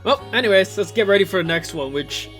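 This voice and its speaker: A man giving casual, enthusiastic video commentary in an excitable, conversational way, full of exclamations and asides. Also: high voice, quick pace. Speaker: video host commenting on visual novel openings. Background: music playing behind the voice.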